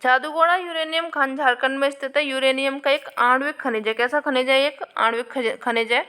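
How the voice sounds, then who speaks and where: A woman's voice: high voice, steady tone, moderate pace, pushed and bright. A woman teacher working through past exam questions, explaining each answer and repeating key points for her students. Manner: addresses viewers with frequent "आपके". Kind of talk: exam question walkthrough lesson.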